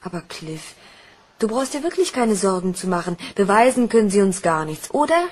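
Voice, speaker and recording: An actress playing an anxious, pleading young fiancée and accomplice in a German crime radio play. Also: female voice, mid-range voice, big pitch swings, normal speed, breathy edge. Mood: anxious, defensive.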